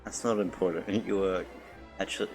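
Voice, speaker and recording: A man's voice: sits low, steady tone, moderate pace, creaky. A man streaming a game, casually reading dialogue aloud and adding short comments. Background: faint game music.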